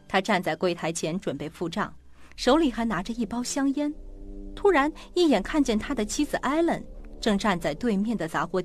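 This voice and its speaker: A woman narrates a scripted suspense audio drama. Her voice sits at a medium pitch, with faint music playing underneath. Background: background music.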